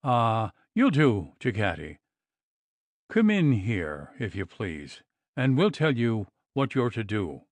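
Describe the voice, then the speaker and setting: A man reading an audiobook, voicing a gruff, brusque old country doctor. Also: on the low side, big pitch swings, slow, no room sound.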